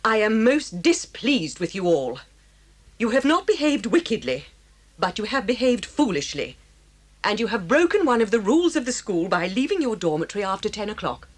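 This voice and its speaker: A woman's voice: medium pitch, very animated, normal speed. A woman playing a stern boarding school headmistress, speaking formally and reprovingly in an audio drama. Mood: stern, disappointed.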